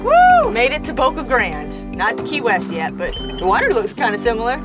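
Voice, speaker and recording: Bubbly sailing co-host, a woman with a high, lively voice. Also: normal speed, over loud music. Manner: bubbly, laughs often. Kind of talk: casual chat while sailing. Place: outdoors on a sailboat.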